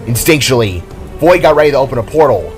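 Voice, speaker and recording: Male narrator for a fantasy audio drama, mid-pitched, dramatic scripted read over a music bed. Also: expressive, fast talker.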